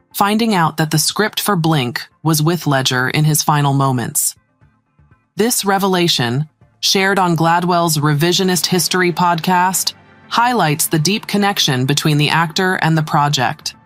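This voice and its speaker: Low-voiced woman reading news over music. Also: expressive, normal speed, dry recording. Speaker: news narrator. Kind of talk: scripted celebrity news voice-over. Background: background music.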